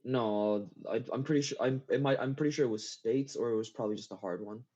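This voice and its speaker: A man with a medium-pitched voice talks casually as an interview guest. He is halting and keeps rewording his sentences. The audio sounds somewhat dull and lo-fi. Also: warm tone. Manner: halting, often rephrases himself mid-sentence. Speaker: interview guest, game map builder. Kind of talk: casual chat. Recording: dull lo-fi voice recording.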